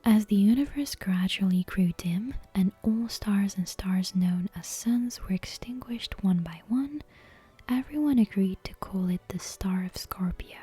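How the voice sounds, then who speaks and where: A woman softly whispering a bedtime story in an ASMR style, close to the microphone. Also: medium pitch, breathy edge. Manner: soft, unvoiced whispering.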